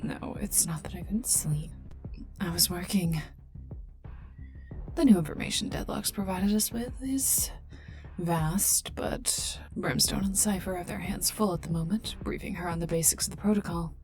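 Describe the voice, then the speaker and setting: A woman's voice: on the low side, lightly acted. A woman playing a haughty, prickly poisoner agent in an ASMR roleplay, dry and sarcastic, often dropping near a whisper. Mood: exasperated. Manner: dry, sarcastic, scornful, unhurried. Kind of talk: ASMR roleplay addressed to listener. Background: calm ambient game music.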